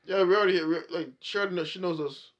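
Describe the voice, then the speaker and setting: A young man with a somewhat higher voice, rambling through casual stories with long ums between items. He speaks in a relaxed, conversational way with a friend. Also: dull audio. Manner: rambling storyteller, drawn-out fillers. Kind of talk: casual chat.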